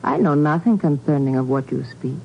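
Low sultry dancer, muffled radio. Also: female voice, brisk. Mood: guarded, cool. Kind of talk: 1950s radio drama acting. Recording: muffled, noisy 1950s radio recording.

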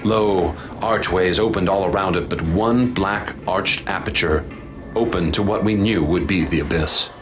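A man narrating and acting as expedition leader in a radio drama, grave and measured. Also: sits low, over music.

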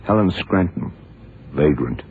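An actor playing a gruff, sardonic blind private detective in a radio drama, terse and wry, using slangy questions. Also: male voice, a bass voice, animated, unhurried, full character voice. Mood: businesslike. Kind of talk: 1960s-70s radio drama acting. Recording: muffled phone-like vintage radio, faint noise.

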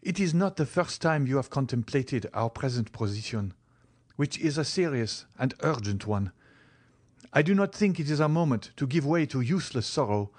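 A man playing a passionate, desperate young gentleman in love in an audiobook, speaking with earnest, formal urgency. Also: mid-range voice, animated, moderate pace, pitched above the performer's own voice, lightly acted. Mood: resolute. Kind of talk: dramatic audiobook character acting.

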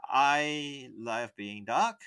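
Bright-voiced man telling a story. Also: medium pitch, extremely slow, clear, resonant tone, no echo. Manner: rambling, often stops and restarts mid-sentence. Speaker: podcast co-host. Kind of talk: casual storytelling chat.

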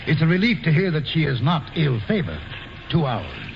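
Bossy old dutch governor, voice jumping all over, muffled hissy old radio. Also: male voice, a little high, huge pitch swings, moderate pace, creaky, heavily acted. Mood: haughty. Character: haughty peg-legged Dutch colonial governor, older man. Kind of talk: 1940s radio acting. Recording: muffled 1940s radio broadcast with hiss.

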